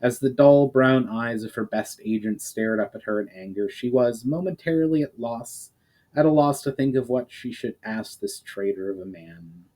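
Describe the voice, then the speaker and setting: A man's voice: medium pitch, moderate pace, mellow, rough recording. Man giving a dramatic story reading. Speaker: author reading own novel aloud. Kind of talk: dramatic audiobook-style narration.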